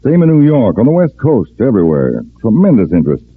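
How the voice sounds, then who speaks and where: An actor playing a shrewd, authoritative agency chief with a clipped, thoughtful delivery in an old radio drama. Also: male voice, mid-range voice, huge pitch swings, quick pace, no echo. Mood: musing, suspicious. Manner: musing, clipped, decisive. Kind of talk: 1940s-50s radio drama acting. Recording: tinny, band-limited old radio recording.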